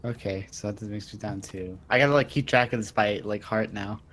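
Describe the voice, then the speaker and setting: A man's voice: lowish voice, fairly quick, fairly bright tone. A man chatting casually and grumbling as a player in a tabletop roleplaying game.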